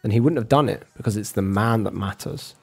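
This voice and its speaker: A man's voice: on the low side, brisk, vocal fry, a little mellow, slightly rough, faint music behind. A man in a casual argumentative rant, sarcastic and sweary, calling the listener bro. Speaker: streamer arguing with a chat viewer.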